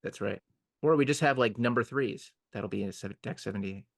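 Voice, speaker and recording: A man's voice: mid-range voice, a little fast, gravelly, dry recording, clean studio sound. A man co-hosting a podcast, chatting casually and jokingly with a wry, playful manner. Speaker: podcast co-host. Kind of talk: casual joking fan chat.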